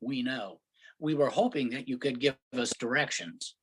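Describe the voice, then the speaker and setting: An exasperated husband from the city, acted by a man in a play reading, grumbles with sarcasm and impatience at being lost out in the country. His voice sits at a middling pitch. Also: moderate pace, breathy edge, close to an everyday voice, poor audio. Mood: impatient, sarcastic. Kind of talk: staged reading of a comic play.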